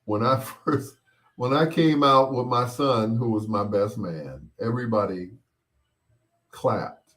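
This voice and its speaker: A middle-aged man hosting a casual show, chatting and reminiscing in a rambling way, often pausing and repeating himself as he replies to listeners. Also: medium pitch, fairly bright tone. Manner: unhurried, rambling, with pauses and repeats. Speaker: host of a bedtime stories show. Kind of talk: casual chat, reminiscing and replying to listener comments.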